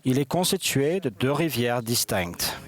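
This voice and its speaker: A man interpreting a church conference address in a formal, measured way. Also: mid-range voice, very animated. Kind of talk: formal sermon delivered in translation.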